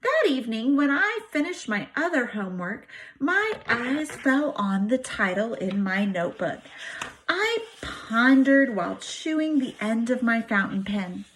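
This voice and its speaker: A woman reading a diary aloud to students as a teacher, with expressive, emphatic storytelling phrasing. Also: on the high side, unhurried, slight background noise, poor audio. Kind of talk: animated read-aloud of a diary book.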